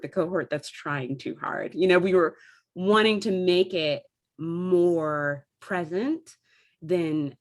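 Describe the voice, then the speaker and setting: A woman with an American Midwestern accent explains things in a casual, chatty way, like a theatre member discussing her craft. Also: deep voice. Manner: chatty, demonstrates vowel sounds as she explains. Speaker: theatre company member recounting dialect training.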